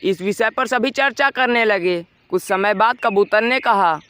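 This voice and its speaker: A man with an extremely high, clean and smooth voice. He reads a children's moral story as a scripted narrator. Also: measured pace, fairly bright tone.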